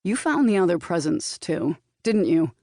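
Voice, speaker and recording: A woman voicing a shrewd, teasing male vampire lover in audiobook dialogue, knowing and flirtatious in a low-key way. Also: sing-song, normal speed, warm tone, no room sound, studio quality. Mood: probing.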